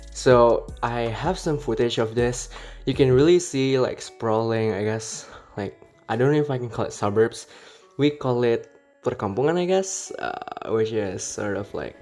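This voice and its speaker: Young Indonesian-accented male creator, lowish voice, loose rambling game commentary, faint music underneath. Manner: relaxed and rambling, with many fillers and self-corrections. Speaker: city-builder video creator. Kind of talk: casual gameplay commentary. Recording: voice-over with faint background music.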